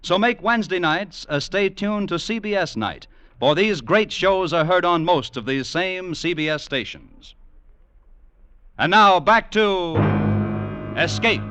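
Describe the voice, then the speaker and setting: A man's voice: high-pitched, lively intonation, a little slow, sharp tone, slight background noise. An American man reading a scripted promo as a network announcer in mid-century radio style.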